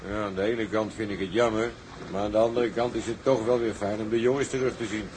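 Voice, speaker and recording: An actor playing a plain, good-natured tugboat sailor in a Dutch period seafaring drama. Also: male voice, lowish voice, steady tone, fast, darkish tone, natural voice. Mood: wistful, genial. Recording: faint background noise.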